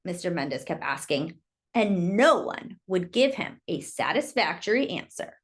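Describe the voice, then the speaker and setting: A woman reading a children's story aloud in an expressive, emphatic storytelling manner. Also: lowish voice, a little slow, breathy edge. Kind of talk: expressive read-aloud narration with brief asides.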